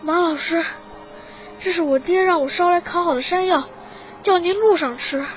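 Small village boy, devoted and stubborn. Childlike, very high pitch, dull lo-fi radio drama sound. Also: moderate pace, soft background music. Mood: wistful. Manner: childlike, plaintive. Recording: dull lo-fi recording, clear background noise.

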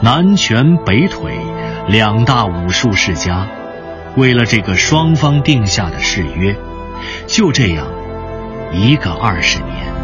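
A man reads dramatic narration like a solemn storyteller. His delivery is slow and measured yet widely inflected, over clear background music, in a slightly muffled recording. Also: on the low side. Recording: muffled lo-fi, music bed behind.

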